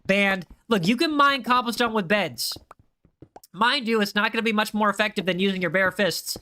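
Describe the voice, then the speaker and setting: A man's voice: high voice, moderate pace, pushed and bright, smooth. A young man live-streaming a game, chatting casually with his viewers and often repeating himself. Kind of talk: live gaming stream chat.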